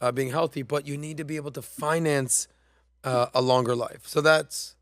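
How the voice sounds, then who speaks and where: A man's voice: fairly high pitch, steady tone, normal speed, no room sound, studio quality. A man hosting a podcast, talking in a casual, emphatic and slangy way while commenting on played clips. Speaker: podcast host.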